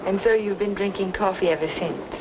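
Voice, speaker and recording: A woman's voice: medium pitch, a little fast, noisy background, natural voice. An actress playing a skeptical, wry young woman with a dry, polite manner in an old radio noir drama. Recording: vintage radio drama, loud music behind.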